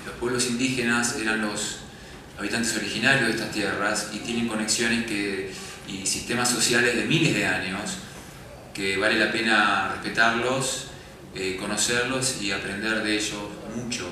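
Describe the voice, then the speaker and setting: A man's voice: lowish voice, steady tone, moderate pace, pushed and bright. A man, speaking earnestly on a panel after a film screening. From Argentina. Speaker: documentary filmmaker on a panel. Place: festival screening hall. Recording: very echoey hall, distant mic, background noise.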